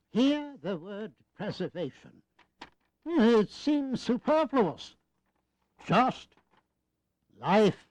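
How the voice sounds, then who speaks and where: Witty old statesman, high voice, slow swooping delivery, gently pedantic, historical radio play. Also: no room sound, exaggerated voice. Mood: amused, approving. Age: older. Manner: hesitant, drawn-out, gently pedantic. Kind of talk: historical audio drama acting.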